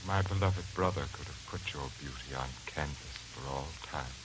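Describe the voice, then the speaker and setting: An actor playing a brooding, fanatical lodger in 1940s radio suspense style, formal and intense. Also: male voice, extremely low pitch, normal speed. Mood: soft, brooding. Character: brooding, fanatical male lodger obsessed with evil beauty. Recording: noisy old 1940s radio recording.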